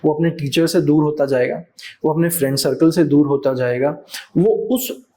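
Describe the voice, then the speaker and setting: A man who is a teacher, talking as a podcast guest in an animated way, acting out other people's voices and switching into English phrases. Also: on the high side, normal speed. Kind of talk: conversational podcast interview.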